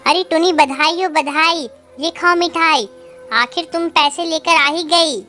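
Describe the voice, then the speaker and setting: Shrill-high greedy mother-in-law bird acted by a woman. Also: measured pace, sharp tone, soft background music, exaggerated voice. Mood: greedy delight. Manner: scolding, imperious. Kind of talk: Hindi children's cartoon dialogue.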